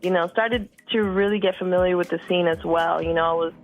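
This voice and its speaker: A woman in her thirties, from Costa Rica, talking casually as a music guest, relaxed, with many fillers and repeated words. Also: on the low side, a little fast, low-quality recording. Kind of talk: casual interview chat.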